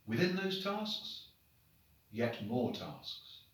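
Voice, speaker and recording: A man, a headmaster, reading short stories aloud to children in an expressive, deliberate, dramatic storybook manner. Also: mid-range voice. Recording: very echoey room, distant microphone.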